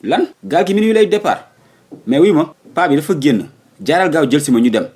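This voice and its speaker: A man's voice: fairly high pitch, very animated, a little mellow, slightly rough. A man voicing a determined, evasive young son in a comic cartoon, with a Senegalese accent and an argumentative way of talking. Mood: urgent. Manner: argumentative, quick excuses.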